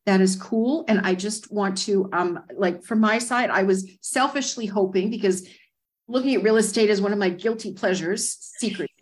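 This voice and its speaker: A woman's voice: medium pitch, moderate pace, rough recording. A woman hosting a talk, asking questions politely and a bit tentatively. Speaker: host. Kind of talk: moderating a Q&A.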